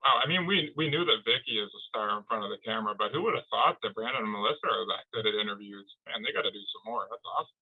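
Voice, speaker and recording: A man's voice: lowish voice, racing, few pauses, husky, phone-quality sound. A man co-hosting a sports show with casual, enthusiastic and appreciative banter.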